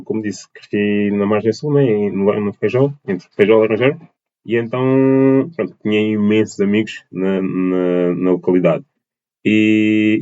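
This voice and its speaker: A man's voice: on the low side, dark tone, dull audio. A man in his twenties from Portugal, answering as a podcast guest, with many fillers and restarts. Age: 20s. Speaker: podcast guest, dance teacher. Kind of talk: casual interview answers.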